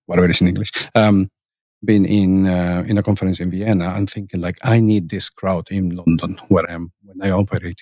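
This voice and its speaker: A man with a Spanish accent speaks in a low voice as a podcast guest. He thinks aloud, restarting phrases and dropping fillers as he goes. The sound is close and dry. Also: a little mellow, no echo. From Spain. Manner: thoughtful, many restarts and fillers. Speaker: guest, conference organizer and agile coach. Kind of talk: conversational interview. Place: conference venue.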